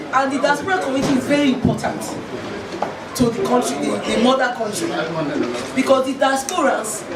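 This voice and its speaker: A woman with a Nigerian accent addresses a gathering formally in a slightly high, croaky voice. She sounds far from the microphone, with a very loud crowd around her. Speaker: embassy representative. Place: noisy echoey gathering. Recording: echoey, far mic, loud crowd noise.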